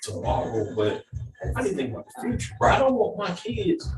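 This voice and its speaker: A man's voice: sits high, wildly swooping pitch, fairly quick, far from the mic. A man hosting a casual chat in a cigar lounge, laid-back, repeating his words and leaning on fillers. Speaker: host. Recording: roomy echo, off-mic, music behind.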